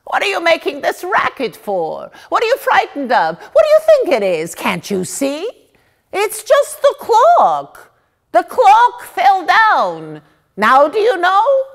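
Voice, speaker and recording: A woman's voice: extremely high pitch, wildly swooping pitch, takes it slowly, pitched above the performer's own voice, exaggerated voice. A woman voicing a bossy, scolding gossip in a dramatic, emphatic storybook reading. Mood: scolding, exasperated. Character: loud, bossy, scolding gossip woman telling lurid tales.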